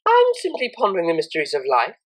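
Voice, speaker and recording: Refined young pony mare, played by a woman, storybook cellist, polite, earnest, proper diction, very expressive pitch. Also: quick pace. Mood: feigned nonchalance. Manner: formal, precise, proper wording.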